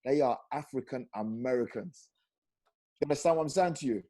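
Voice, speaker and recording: A man, a guest on a video-call panel, talking passionately and rising to loud emphatic peaks. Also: mid-range voice, a little fast. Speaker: panel guest, former professional footballer.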